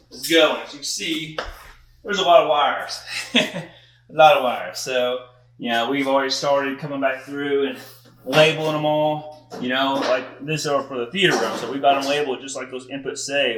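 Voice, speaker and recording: A man's voice: mid-range voice, a bit bright, a little hiss or hum. A middle-aged man giving a casual, folksy walkthrough as an installer on a job site. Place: large unfinished house interior, hard floors. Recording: echoey empty rooms with long reverb.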